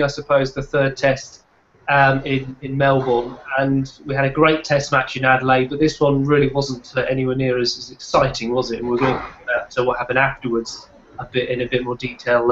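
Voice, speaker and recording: A man hosting a cricket discussion, his voice croaky from a sore throat, politely steering the talk. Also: mid-range voice, highs cut off, slight background noise. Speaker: host.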